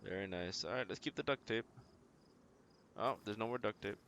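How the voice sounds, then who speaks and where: A man's voice: fairly low pitch, normal speed, fairly bright tone, slight background noise. A man giving casual gameplay commentary as he streams a survival game, muttering short, playfully quaint remarks to himself.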